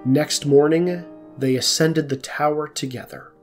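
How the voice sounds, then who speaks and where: A man's voice: a little high, steady tone, unhurried, faint music behind. A man narrating a scripted folk tale as the storyteller of an audio drama.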